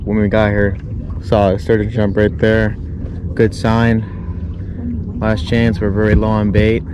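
A man's voice: on the low side, slow. A young man casually narrating his fishing trip to viewers from a small boat on open water, filmed on a mounted action camera. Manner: excited, chatty, talks to viewers. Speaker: fishing vlogger. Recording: loud wind and water noise. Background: wind and boat noise.

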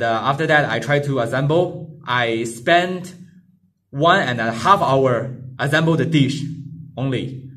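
Higher-voiced young man, halting delivery. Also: a bit bright. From Singapore. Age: young adult. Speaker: amateur radio hobbyist vlogger. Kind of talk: casual explanatory vlog to camera. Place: small plain indoor room.

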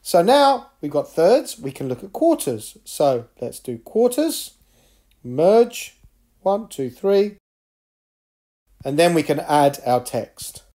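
Middle-aged man, animated pitch, dry close sound, step-by-step teacher explaining a tutorial. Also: slow pace, no room sound. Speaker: education technology trainer. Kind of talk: screen-recorded tutorial walkthrough.

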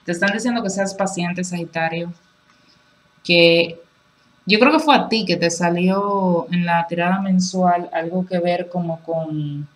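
A woman's voice: on the low side, unhurried. A woman giving a casual, chatty tarot reading over cards on a table, reassuring and full of filler phrases. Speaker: tarot reader. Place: indoors at a table with cards on a cloth.

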